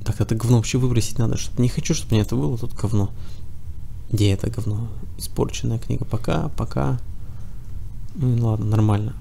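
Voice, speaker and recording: A man with a cold talks his way through a game session, chatty and casual, mumbling to himself and jeering at the enemies he shoots. His voice is gravelly and dark, with a faint hum of room sound behind it. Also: medium pitch, fast talker. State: has a cold. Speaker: let's play gamer. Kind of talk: casual gameplay commentary.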